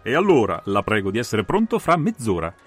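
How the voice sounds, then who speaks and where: A man's voice: fairly low pitch, huge pitch swings, a little fast, husky, soft background music, full character voice. A man playing a composed, analytical detective who lays out a case with assured, methodical, theatrical phrasing in a dramatised audiobook. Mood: relaxed, genial.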